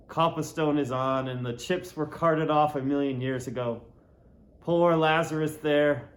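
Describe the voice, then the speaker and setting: A man reading a classic novel aloud in a deliberate, dramatic way, lingering on words. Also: fairly high pitch. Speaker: audiobook reader. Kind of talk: literary narration, theatrical reading of a classic novel.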